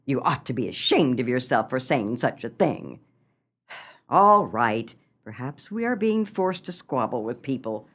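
A woman's voice: extremely low pitch, sing-song, unhurried, fairly bright tone, slightly rough, full character voice. A woman playing a melodramatic, flustered small-town wife, swooping expressively. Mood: indignant. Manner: theatrical, exclamatory, dramatic sighing. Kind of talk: comic radio play acting.

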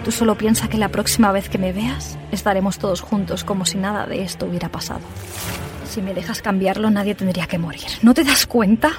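A woman's voice: mid-range voice, fairly quick, natural voice. An actress playing a desperate, grieving mother in a suspense audio drama, intense and pleading. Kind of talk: dramatic radio play acting. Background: loud dramatic music.

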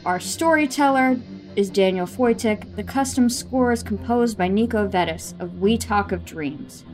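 A woman's voice: mid-range voice, a little slow, a little mellow, rough recording. A woman hosting a podcast, reading a scripted introduction. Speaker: podcast host. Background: music.

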